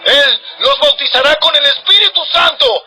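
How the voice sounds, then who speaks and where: Fierce prophet in a bible audio drama, very high strained pitch, super sharp and forceful, declaiming warnings. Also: male voice, moderate pace, soft background music, exaggerated voice, low-quality recording. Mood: stern, urgent. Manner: declamatory, thundering, admonishing. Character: fierce male desert prophet, stern and urgent.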